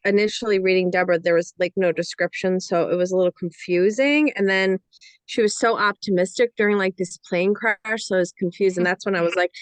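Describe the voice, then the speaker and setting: A woman's voice: medium pitch, fairly even intonation, brisk, no room sound. Hesitant woman giving informal feedback, dry recording. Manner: hesitant, peppers speech with "like". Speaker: script reading panellist. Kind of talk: informal spoken feedback on a script.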